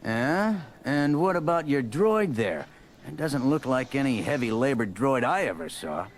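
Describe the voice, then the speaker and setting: An actor playing a gruff, suspicious, officious loading dock supervisor in a space opera audio drama, peppering orders with uhs. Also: male voice, medium pitch, big pitch swings, measured pace, a little hiss or hum.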